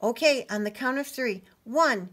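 A woman's voice: on the high side, unhurried, a bit bright, voice pushed up. A woman voicing a jolly, kindly little girl heroine in a children's storybook, encouraging and exclaiming. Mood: rallying. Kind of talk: children's storybook read-aloud.

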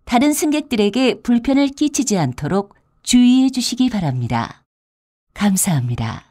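Melodic high-voiced female subway announcer. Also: big pitch swings, normal speed, warm tone, dry recording, studio quality. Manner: formal, polite, carefully enunciated. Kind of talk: scripted transit announcement.

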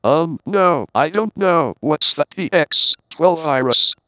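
Guy doing a clueless npc bystander, highish voice, dull dry lofi sound. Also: expressive, slow, no echo. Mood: uncertain, worried.

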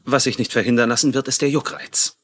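An actor playing a calm, practical doctor with a raspy voice, clinical yet easygoing, in a dubbed TV drama. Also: male voice, mid-range voice, quick pace. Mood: calm, instructive. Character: calm, practical male doctor tending a patient. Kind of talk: dubbed TV mystery drama acting.

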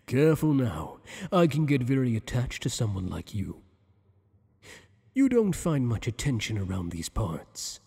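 A man's voice: medium pitch, big pitch swings, creaky, mellow, husky, long reverb tail, heavily acted. A man voicing a teasing, possessive monster boss. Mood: flirtatious. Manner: teasing, flirtatious, slow and intimate. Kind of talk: ASMR roleplay.

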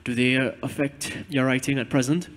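A man's voice: medium pitch, flat delivery, fairly quick, big-room sound. A man in the audience hesitantly asking the guest a question.

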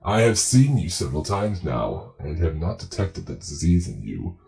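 A man voicing a courteous, eerie plague doctor entity with a formal, measured, calmly zealous manner, in an audio drama. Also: deep voice, vocal fry, soft background music, heavily acted, low-quality recording. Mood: curious, genteel.

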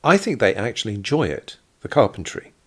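Narrator as a gentle, wry working man, deep-voiced. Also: big pitch swings, brisk. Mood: musing. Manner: gentle, mildly chiding.

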